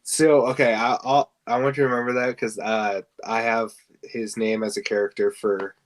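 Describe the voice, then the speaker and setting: A man's voice: medium pitch, flat delivery, moderate pace. A man co-hosting a podcast, chatting informally and a little hesitantly about pop culture. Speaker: podcast co-host. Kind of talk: casual chat about games and film casting.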